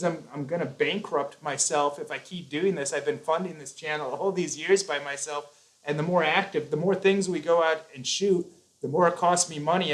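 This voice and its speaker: A man's voice: on the high side, poor audio. A man hosting a live chat, talking earnestly and at length, with frequent restarts. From United States. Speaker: YouTube host.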